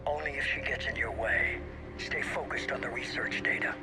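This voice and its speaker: An actor playing a cool, controlling villain in a video game, issuing orders with composed command. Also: male voice, mid-range voice, normal speed, over music, natural voice. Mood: cool, controlled. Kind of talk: dramatic video game dialogue.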